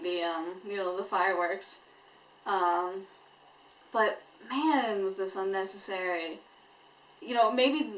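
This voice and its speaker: Female vlog reviewer, medium pitch, casual and unscripted, hesitant thinking-aloud delivery, strong room echo. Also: fairly bright tone. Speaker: TV episode reviewer. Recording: echoey room, distant microphone.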